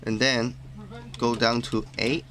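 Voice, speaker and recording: A man narrating a step-by-step tutorial in a plain, instructional way, halting from phrase to phrase. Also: medium pitch, flat delivery, a bit bright. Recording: clearly noisy background.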